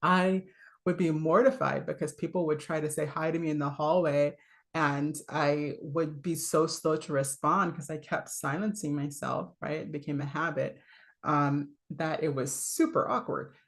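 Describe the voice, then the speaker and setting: A woman coach with a low voice, giving a casual, chatty talk full of fillers, little laughs and "right?". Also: fairly even intonation, moderate pace. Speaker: voice and leadership coach.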